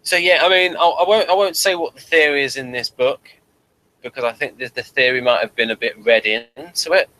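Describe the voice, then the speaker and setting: A man's voice: mid-range voice, fairly quick, pushed and bright, rough recording. A man co-hosting a review show in casual discussion, hesitant and repeating words as he thinks aloud.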